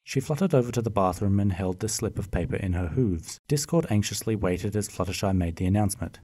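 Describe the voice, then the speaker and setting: A male narrator reads a scripted story for a fan radio play. His voice is mellow and dark, with a husky grain. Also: on the low side, lively intonation, fairly quick.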